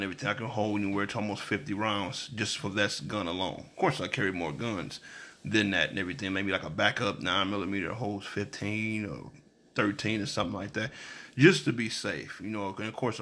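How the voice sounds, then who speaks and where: Deep, gravelly American man. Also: fairly quick, pushed and bright. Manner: blunt, swearing, repetitive emphatic advice. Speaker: truck driver giving advice. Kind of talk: casual vlog monologue. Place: small indoor room, plain wall. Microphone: phone at arm's length.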